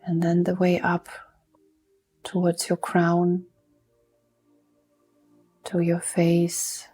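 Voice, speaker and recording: A woman leading a guided mindfulness meditation in a soft, soothing manner, unhurried and leaving long pauses between instructions. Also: lowish voice, completely flat delivery, soft background music.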